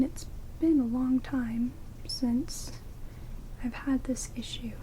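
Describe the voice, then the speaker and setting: A woman's voice: sits high, low-quality recording. A woman playing a sardonic, reluctantly helpful vampire in a whispered ASMR roleplay, dry and rude, close on binaural mics. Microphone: close binaural microphones. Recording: binaural whisper with steady rain noise. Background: heavy rain.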